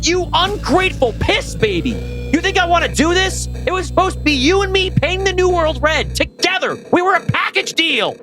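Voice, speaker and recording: Jilted male killer acted by a man: strained high voice, menacing, possessive, theatrical pleading and sneering, horror drama. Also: expressive, normal speed, sharp tone, soft background music, exaggerated voice. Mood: furious.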